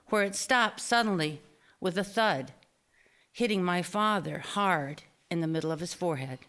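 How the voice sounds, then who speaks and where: A woman reading her own personal essay aloud at a live storytelling event, with expressive, deliberate phrasing. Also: medium pitch, smooth. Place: small theater stage, live reading before an audience.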